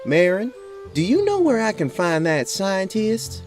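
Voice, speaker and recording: An earnest woman adventurer, voiced by a man, with pitch lifted well up and lively movement in it. She is polite and curious, a fantasy drama heroine heard over music. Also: unhurried, full character voice. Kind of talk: fantasy audio drama acting.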